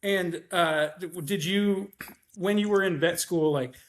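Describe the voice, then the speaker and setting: Affable host with a mild country accent. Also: male voice, high voice, a little slow. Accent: Midwestern country. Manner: hesitant, restarts often, affable. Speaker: podcast host. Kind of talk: interview questions.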